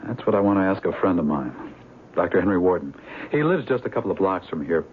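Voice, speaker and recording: Deep-voiced, shrewd police detective. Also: male voice, animated, fast. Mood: folksy, confiding. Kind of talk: 1970s radio drama acting. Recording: muffled, hissy 1970s radio broadcast.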